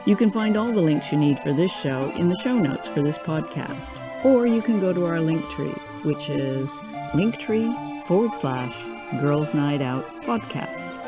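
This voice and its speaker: A woman's voice: low-pitched, lively intonation, a little slow, hardly any pauses. A woman reading scripted narration and credits as the narrator of an audio drama podcast. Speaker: narrator, writer and director. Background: music.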